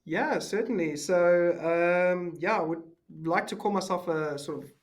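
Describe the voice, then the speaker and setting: Guest voice: South African-raised man, somewhat high pitch, easygoing, full of ums and restarts. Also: measured pace. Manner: relaxed, many fillers and restarts. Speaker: podcast guest. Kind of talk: casual interview chat.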